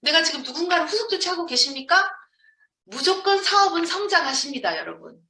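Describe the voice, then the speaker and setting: A woman's voice: extremely high pitch, very animated, brisk, sharp tone. A woman from Jeonju, South Korea, giving a motivational business training lecture to an audience, emphatically posing questions and answering them herself. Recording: echoey room, distant microphone.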